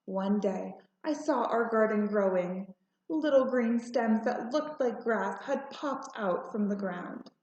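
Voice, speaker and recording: A woman narrating a children's storybook aloud in a deliberate, expressive way. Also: mid-range voice. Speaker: storybook reader. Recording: roomy, slightly muffled home recording.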